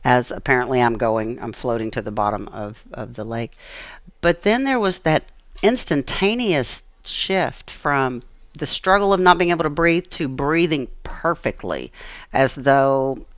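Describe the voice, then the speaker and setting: A woman's voice: a bass voice, measured pace, no echo, clean studio sound. An American woman telling personal stories as an interview guest, earnest and emphatic, repeating phrases for emphasis. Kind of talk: personal storytelling in conversation.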